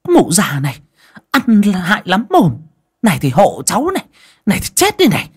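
A man's voice: high voice, huge pitch swings, moderate pace, slightly rough, voice pushed up, full character voice. A man voicing a vicious, bullying stepmother in a story, snarling and scolding coarsely. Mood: defiant rage.

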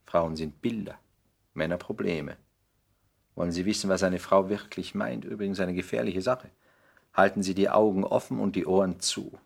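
Ein Schauspieler spielt in einem Hörspiel der 1960er einen zynischen englischen Aristokraten, geschliffen, weltgewandt und herablassend. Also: male voice, deep voice, steady tone, normal speed, vocal fry, husky, full character voice. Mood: witty, cynical. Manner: polished, epigrammatic, worldly, condescending. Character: suave, cynical English aristocrat dandy, lecturing a young man. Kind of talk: 1960s German radio play acting.

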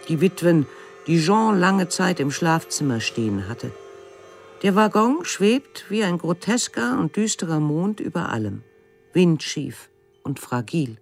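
A man's voice: on the high side, a little hiss or hum. A man playing a narrator who relays an artist's memories as scripted voice-over in an audio drama.

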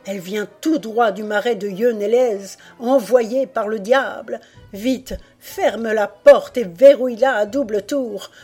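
A woman's voice: fairly high pitch, unhurried, husky, soft background music. Woman playing a terrified, urgent old grandmother. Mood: frantic alarm. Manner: breathless, urgent, hushed warnings.

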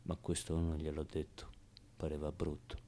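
A man's voice: extremely low pitch, completely flat delivery, moderate pace, warm tone, slight background noise. Guy doing a streetwise hurt orphan boy telling his story, radio play, kinda hesitant and slangy. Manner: colloquial, hesitant storytelling with repeated words. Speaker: actor-narrator. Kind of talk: dramatic radio theatre monologue in a child character's voice.

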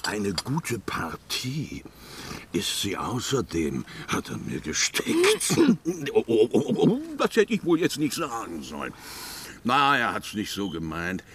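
Breezy, swooping upper-class chum. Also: male voice, fairly low pitch, wildly swooping pitch, takes it slowly, crowd noise, heavily acted. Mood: flattering, tactless. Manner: jovial, effusive, affectedly gallant. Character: breezy, tactless upper-class old school chum, gallant gossip. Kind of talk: German radio drama acting.

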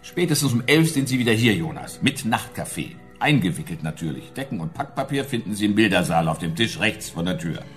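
A man's voice: on the low side, sing-song, a little fast, few pauses, soft background music. A man playing a glib, condescending schemer in a radio play, giving instructions in a smug, impatient manner. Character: glib, condescending male schemer posing as art doctor.

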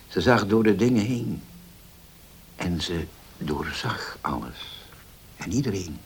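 A very deep, slow, troubled father. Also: darkish tone. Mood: sorrowful, solemn. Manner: halting, hesitant, solemn, with many pauses. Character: grave, troubled widowed father, burdened by old betrayal. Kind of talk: Dutch radio play acting. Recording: hissy old radio play recording.

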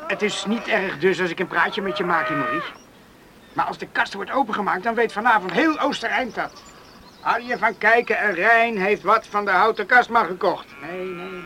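An actor playing a shy, secretive young farmer's son in love in a radio play, speaking hesitantly. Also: male voice, sits high, noisy background, natural voice. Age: young adult. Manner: hesitant, hushed urgency.

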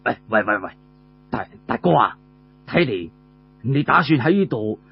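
Man doing a gravelly, stammering young warrior. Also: medium pitch, sing-song, a little slow, highs cut off, a little hiss or hum, pitched below the performer's own voice. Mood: eager, pleading. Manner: stammering, repeating words, wheedling. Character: eager, hot-headed young warrior, pleading younger brother.

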